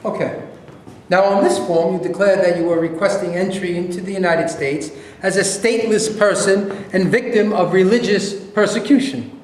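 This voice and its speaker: A man's voice: high voice, moderate pace, lightly acted. A man acting the part of a formal, insistent government investigator in a staged reading of a play. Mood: firm, pressing. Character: firm, formal male government war-crimes investigator. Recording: very echoey room, light noise.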